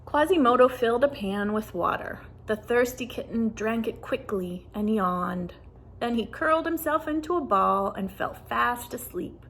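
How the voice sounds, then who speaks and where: Unhurried woman reading a children's story, creaky edges. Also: on the high side, slight background noise. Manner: deliberate, animated storytelling. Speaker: storybook reader. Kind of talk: expressive children's read-aloud narration.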